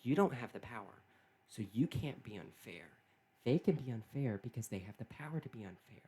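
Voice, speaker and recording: A man who is not British, talking alone in an earnest, halting way, often restarting and repeating his phrases. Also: medium pitch, lively intonation, mellow, slightly rough. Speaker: political commentator. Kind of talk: solo opinion monologue.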